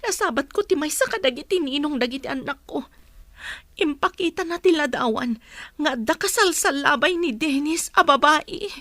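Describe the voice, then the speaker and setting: High-pitched aggrieved wife. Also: female voice, animated, normal speed, bright, forceful tone, no room sound. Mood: confiding, troubled. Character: wronged, aggrieved wife leaving her husband. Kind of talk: radio drama acting in Ilocano.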